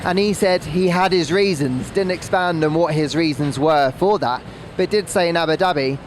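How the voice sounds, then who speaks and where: A man's voice: on the high side, normal speed, slight background noise. A man giving casual sports analysis as a motorsport podcast pundit.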